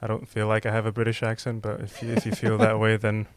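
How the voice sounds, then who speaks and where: A man giving football opinions as a show guest, relaxed and thinking aloud with frequent ums. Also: fairly low pitch, fast. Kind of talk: casual football chat.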